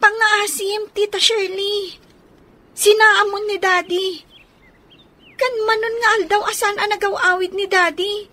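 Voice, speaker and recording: A high, bright child's voice acted out by a woman in a radio drama. The child is earnest and pleading, stretching words slowly. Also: level tone, exaggerated voice. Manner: pleading, drawn-out. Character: earnest pleading young child begging for a happy family.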